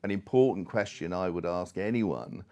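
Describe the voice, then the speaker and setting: A man speaking persuasively on a panel, in a measured way with formal wording. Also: low-pitched, a little mellow.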